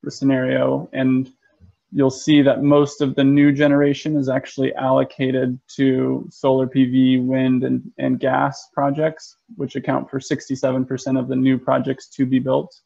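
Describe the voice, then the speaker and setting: An American man, an energy consultant, giving a webinar presentation in a measured way with frequent ums and restarts. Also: mid-range voice, gravelly, a little mellow. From United States. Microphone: video call. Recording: muffled lo-fi web call audio.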